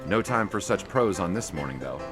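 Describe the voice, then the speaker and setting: An actor playing a wry young 1920s sportswriter who narrates in the first person. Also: male voice, low-pitched, lively intonation, brisk, over music. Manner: wry and breezy.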